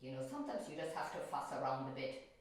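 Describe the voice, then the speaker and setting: A woman's voice: a bass voice, very animated, fast. Older woman teacher in an echoey classroom. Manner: gentle, chatty, talking to herself while painting. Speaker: art teacher. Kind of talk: painting demonstration for children. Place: classroom in front of a chalkboard. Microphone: far camera. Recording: echoey room from far off.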